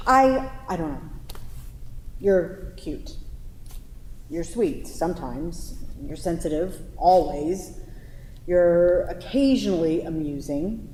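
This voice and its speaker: A woman in a staged play reading, playing a sharp-tongued, sarcastic and exasperated young girlfriend in an echoey hall. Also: lowish voice, expressive, slow, pauses often. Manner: sarcastic, profane, incredulous. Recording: echoey theatre hall, some background noise.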